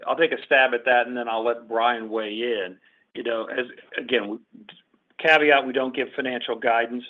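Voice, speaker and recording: Businesslike male executive on a muffled conference call. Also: mid-range voice. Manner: businesslike, halting restarts with repeated words. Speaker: company executive. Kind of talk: unscripted answers on an earnings call. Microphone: conference call line. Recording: muffled, band-limited conference call audio.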